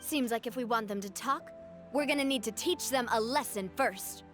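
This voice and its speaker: A woman's voice: fairly high pitch, normal speed, a bit bright, faint music behind, close to an everyday voice. A woman voicing a confident young woman who leads a gang, speaking in an upbeat, self-assured way in a video game. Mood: commanding. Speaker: gang leader.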